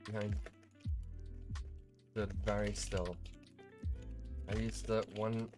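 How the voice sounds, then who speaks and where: A man's voice: lowish voice, little pitch movement, slow pace, over music. A tired man hosting a casual live tutorial, thinking aloud in a rambling, self-deprecating way. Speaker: livestream host.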